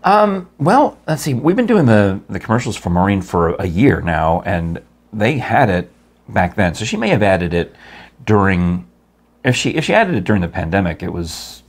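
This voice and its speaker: Low, husky-voiced man hosting a chat show. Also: big pitch swings, moderate pace. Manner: jokey, rambling, playful. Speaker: host. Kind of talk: casual studio chat, sponsor read. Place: small studio set at a table.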